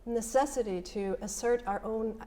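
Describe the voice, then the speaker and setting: A woman's voice: fairly high pitch, normal speed, mellow. A woman with a Russian accent and a first language of Russian, speaking on a panel as a poet. She sounds nervous and earnest, thinking aloud with many fillers. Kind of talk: panel discussion.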